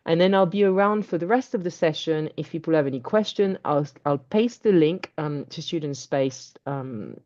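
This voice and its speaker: A woman's voice: deep voice, normal speed, hardly any pauses, a little mellow. A woman speaking earnestly and encouragingly to a webinar audience, with some fillers. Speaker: webinar speaker for a student charity. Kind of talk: reflective talk. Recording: dull lo-fi video call.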